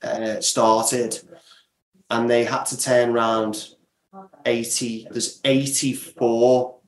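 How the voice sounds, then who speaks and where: A man's voice: mid-range voice, flat delivery, slow. A man giving explanatory, conversational interview answers as a guest creator, often restarting mid-thought. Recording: echoey, roomy sound.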